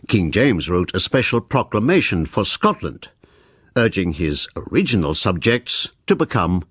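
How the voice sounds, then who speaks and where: Man narrating a history doc, really deep voice, swoops around a lot. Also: breathy edge, dry recording, studio quality. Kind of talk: scripted documentary narration.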